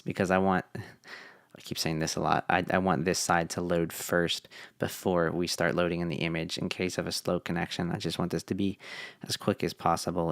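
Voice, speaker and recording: A young man talking through a casual coding tutorial, relaxed and thinking aloud with fillers, his voice tired from long meetings. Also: deep voice, smooth, dry recording, clean studio sound. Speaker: web developer.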